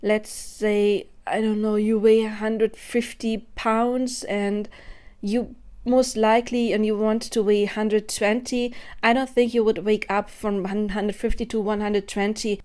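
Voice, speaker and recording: A woman's voice: medium pitch, little pitch movement, a little slow, darkish tone. A woman in her thirties giving a spontaneous, earnest monologue to camera about her spiritual beliefs, thinking aloud as she goes. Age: 30s. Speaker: spiritual vlogger.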